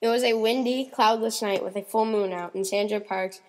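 A woman's voice: medium pitch, fairly even intonation, moderate pace, darkish tone, in a large hall. A woman reading scripted narration in the style of an old-time radio mystery.

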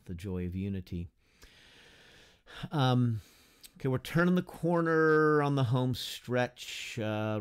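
Earnest older pastor teaching to webcam. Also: male voice, on the low side, a little mellow. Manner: earnest, conversational, restarts with frequent "right?" and gestures. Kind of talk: unscripted teaching talk to camera. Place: indoor study with bookshelves and whiteboard. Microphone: webcam at desk distance.

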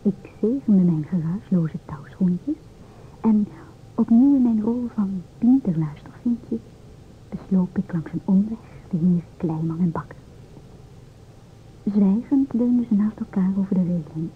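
A woman's voice: mid-range voice, lively intonation, a little slow, lightly acted. Woman acting a bossy stewardess, tinny old radio. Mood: secretive whisper. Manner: emphatic, self-assured, theatrical. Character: bossy, combative, know-it-all young stewardess. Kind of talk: old-time Dutch radio play acting. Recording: old radio recording, narrow tinny band, hiss.

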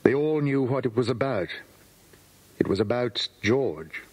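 Husky male children's story narrator. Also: medium pitch, expressive, a little slow, a little mellow, faint music behind.

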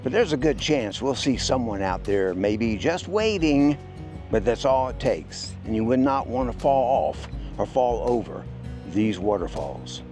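A man's voice: medium pitch, animated, unhurried, a little mellow. A man in his sixties narrating a casual outdoor travel vlog in a plainspoken, folksy way. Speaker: travel vlogger and hiker. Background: music.

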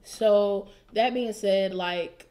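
Young woman, reflective podcast co-host, soft trailing delivery, studio mic in a small room. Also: mid-range voice, drawn-out words. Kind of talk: casual chat. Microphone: studio mic with headphones.

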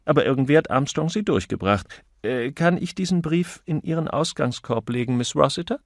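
A man's voice: on the high side, expressive, a little fast, no room sound, studio quality, pitched above the performer's own voice. A man voicing a cheerful, blustering office colleague in an audiobook, speaking with emphasis and mock indignation. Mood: pleased, chatty.

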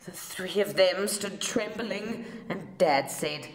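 A woman reading a children's picture book aloud in an expressive, theatrical storytelling manner. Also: lowish voice, echoey room. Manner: slow, theatrical delivery for children.

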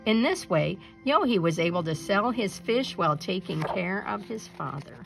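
A woman reading a children's picture book aloud as a storyteller, in an expressive narrating style. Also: mid-range voice, normal speed, vocal fry, soft background music.

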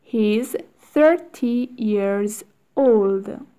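A woman reading short English phrases aloud one at a time, with long pauses for learners to repeat. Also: mid-range voice, dark tone. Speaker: English teacher. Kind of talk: slow read-aloud drill for learners.

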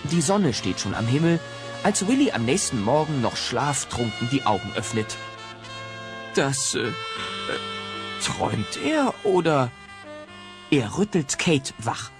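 An actor narrating a comic family audio play as a scripted, dramatic storyteller. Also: male voice, mid-range voice, sing-song, measured pace, pauses often, music in the background. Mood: wry storytelling.

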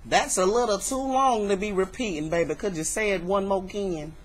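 A woman's voice: mid-range voice, fairly quick, lightly acted. A woman playing a nosy, doting elderly church lady on a prank call, folksy and preachy with sugary endearments. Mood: folksy, teasing. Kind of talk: improvised prank phone call. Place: indoor room at home. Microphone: webcam microphone. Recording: noisy, humming webcam recording.

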